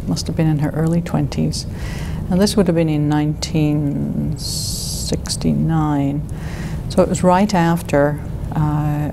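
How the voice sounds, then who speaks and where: A woman in her sixties from the United Kingdom, recalling her past in an interview and pausing often to search for words. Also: low-pitched, noisy background. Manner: thoughtful, hesitant with many fillers. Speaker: interviewee, physician. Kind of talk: oral history interview.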